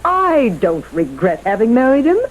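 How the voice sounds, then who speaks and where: A woman's voice: on the high side, huge pitch swings, normal speed. An actress playing a proud, wistful older widowed mother in a radio drama, with a dry, genteel, theatrical delivery. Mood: resigned, dry. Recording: muffled vintage radio broadcast, faint noise.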